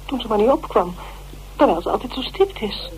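An actress playing a prim, loyal older theatre dresser in a radio drama, hesitant and disapproving. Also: female voice, on the high side, expressive, a little fast, darkish tone, slight background noise, close to an everyday voice, poor audio. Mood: indignant.

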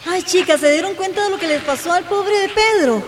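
A woman's voice: extremely high pitch, brisk, background noise. An actress playing an alarmed, caring young girl in a radio drama. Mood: concerned. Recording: hall reverb, prominent music behind.

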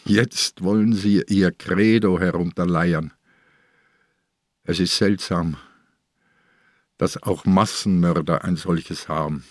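An actor playing a defiant old police commissioner in a radio crime drama, dry and sarcastic in manner. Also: male voice, deep voice, steady tone, slow, pauses often, no echo. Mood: defiant, sardonic. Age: older.

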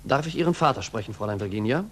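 A man's voice: on the low side, animated, rapid-fire, close to an everyday voice. Man playing a stiff, reproachful former pupil. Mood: stiff, polite. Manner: formal, stiff, pointed. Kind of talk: 1940s German radio play acting. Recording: noisy 1940s radio recording.

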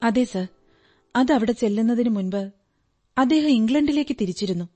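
A woman's voice: fairly high pitch, animated, moderate pace, warm tone. A woman acting in a radio drama as an earnest, trusting young client, politely recounting her story in detail. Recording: radio drama with background music. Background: music.

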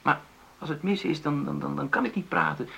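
An actor in a radio drama playing an earnest, conciliatory middle-aged husband who stammers and searches for words in a creaky voice. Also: male voice, a little high, fairly quick, pushed and bright, exaggerated voice. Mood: earnest, searching. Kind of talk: naturalistic radio drama dialogue.

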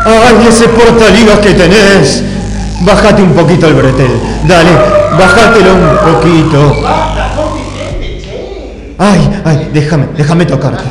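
In an echoey hall with music behind, an actor plays a lecherous man in a stage comedy. He is high-voiced and slow, whining and moaning his pleas. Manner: drawn-out, moaning, panting pleas. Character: lecherous man, easily aroused, whining and pleading.

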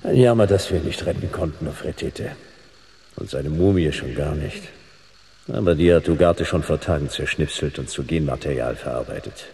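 A man playing a cool-headed private detective in a German radio drama, brisk and matter-of-fact. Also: a bass voice, expressive, gravelly, exaggerated voice. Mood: regretful. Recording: echoey long reverb, loud music.

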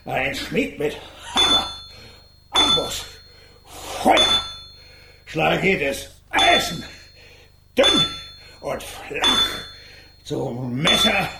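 Gravelly, brutish blacksmith. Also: male voice, on the high side, big pitch swings, drawn-out words, pauses often, exaggerated voice. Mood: coarse, boastful. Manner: cursing, spitting, grunting between short bursts. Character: foul-mouthed, hard-drinking brutish blacksmith. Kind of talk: German children's radio play acting. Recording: echoey room with background noise.

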